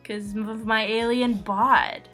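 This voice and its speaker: Bright, high-voiced, giggly woman over music. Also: steady tone, takes it slowly. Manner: giggly, rambling, self-deprecating. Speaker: webcomic artist and channel host. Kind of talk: casual chat with sister, answering questions. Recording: music under the voice. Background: background music.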